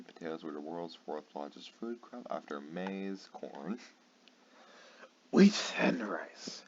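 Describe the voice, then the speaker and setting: Tired man, low voice, stumbling sarcastic reader, dull lo-fi mic with faint hiss. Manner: stumbling reading, sarcastic asides, swearing. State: tired late at night. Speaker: channel host. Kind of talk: comedic reading aloud.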